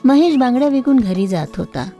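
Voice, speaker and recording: Lady telling a scripted story, clear narration, slightly high pitched. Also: very animated, fairly quick, mellow, soft background music.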